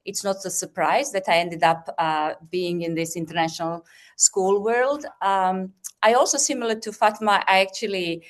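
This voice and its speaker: A woman from Croatia who heads a school, introducing herself on a video call and telling her story warmly. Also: mid-range voice, moderate pace. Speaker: head of school. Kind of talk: panel self-introduction.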